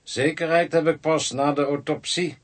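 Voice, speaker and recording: An actor playing a grumbling, sardonic doctor in a radio drama, with a husky voice and a dry manner. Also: male voice, on the high side, steady tone, normal speed, lightly acted. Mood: dry, businesslike. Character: grumbling sardonic male doctor examining bodies.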